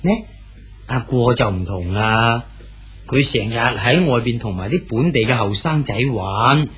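A man's voice: medium pitch, unhurried, breathy edge. An actor playing an exiled male poet official in his sixties, easygoing and consoling in a radio drama. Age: 60s. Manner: calm, good-humoured, consoling.

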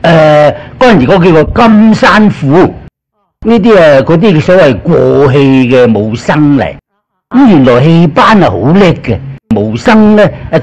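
A man's voice: on the high side, rough recording. An older man with a Cantonese accent, casually reminiscing in an interview as a chatty storyteller. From Guangzhou, China. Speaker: interviewee, veteran actor.

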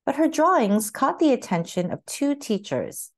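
A woman reading a children's picture book aloud as narrator, with expressive, carefully phrased storytelling. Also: high-pitched, normal speed.

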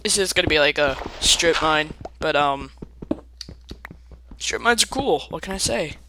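A man's voice: fairly high pitch, lively intonation, unhurried, background noise. A young man casually commenting on his own gameplay, chatty and self-mocking, with playful asides. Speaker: let's play gamer.

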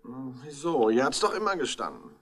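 A man's voice: medium pitch, very animated, a little fast, a bit bright. A man playing a self-pitying, grumbling hypochondriac in a 1960s German radio crime drama, plaintive and fussy. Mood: weary, grudging. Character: whining hypochondriac son-in-law, put-upon, grumbling, self-pitying.

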